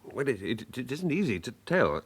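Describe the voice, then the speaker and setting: Flustered, stammering bank manager. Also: male voice, mid-range voice, very animated, moderate pace, breathy edge. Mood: shaken, flustered. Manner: hesitant, stammering, polite. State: shaken. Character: flustered, shaken, apologetic male bank manager. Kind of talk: British radio drama acting.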